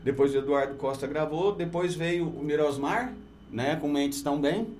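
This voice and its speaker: A man's voice: a little high, moderate pace, darkish tone, slight background noise. A Brazilian man speaking colloquially as a musician guest on a radio show, telling anecdotes in a casual, joking way.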